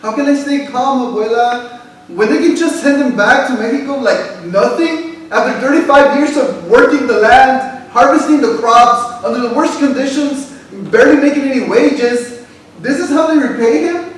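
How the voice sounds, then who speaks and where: Man playing high-pitched impassioned grandson, echoey hall. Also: moderate pace. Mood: angry, indignant. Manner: impassioned, mixes English and Spanish. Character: upset, passionate young Mexican American grandson. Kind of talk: staged reading, emphatic theatrical delivery. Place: theater stage, recorded from the audience. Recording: echoey hall from far off, faint noise.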